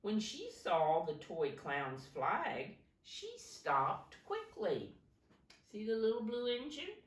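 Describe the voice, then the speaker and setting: Slow, expressive woman reading a storybook. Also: medium pitch. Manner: expressive, explaining to children. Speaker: storybook reader for young children. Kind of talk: read-aloud narration with simple explanatory asides. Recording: roomy, recorded from a distance.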